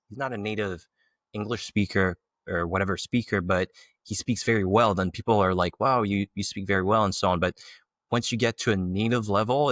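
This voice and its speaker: Host, male, low pitch, dry sound, searching careful questions. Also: fairly bright tone, no echo. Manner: thoughtful, hesitant, with fillers and rephrasing. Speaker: interviewer. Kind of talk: casual interview questions.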